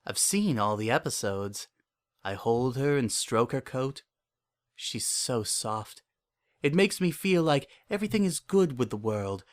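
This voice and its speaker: A man's voice: mid-range voice, natural voice. A man playing a lonely, delusional, obsessive narrator in a first-person dramatic story reading, with an earnest and uneasy intensity. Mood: tender, obsessive. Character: lonely, obsessive, delusional man confiding his private devotion.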